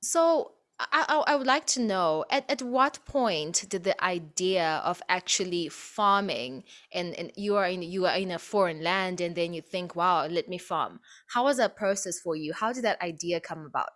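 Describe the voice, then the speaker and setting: A woman's voice: on the low side, animated, normal speed, hardly any pauses, fairly bright tone. A woman hosting a conversational radio interview, putting questions to a guest.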